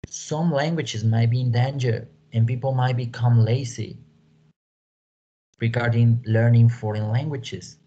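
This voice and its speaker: A man with a Mexican accent, a native Spanish speaker, explaining to an online class as a lecturer in a halting way that repeats words and phrases. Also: mid-range voice, fairly even intonation, mellow, slightly rough. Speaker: English teaching lecturer. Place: small room at home, indoors. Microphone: computer microphone on video call. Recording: dull lo-fi video call audio.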